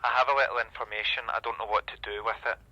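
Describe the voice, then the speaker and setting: An actor playing a hesitant, confiding police inspector in a radio drama, speaking through a telephone filter. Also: male voice, lowish voice, fairly even intonation, rapid-fire, highs cut off, a crowd in the background.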